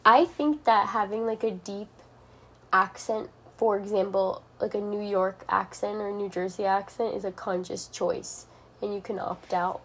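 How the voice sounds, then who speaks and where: A woman's voice: mid-range voice, steady tone, moderate pace, slight background noise. A young woman chatting casually in a bedroom, upbeat and filling her speech with "like". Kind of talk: casual chat.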